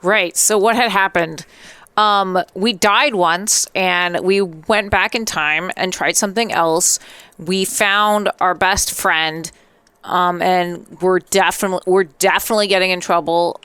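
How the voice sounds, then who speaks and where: Chatty, clear-voiced woman doing gameplay commentary. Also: fairly low pitch, fairly even intonation, measured pace, a bit bright, dry recording. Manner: chatty, reacting aloud, playful. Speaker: let's play host.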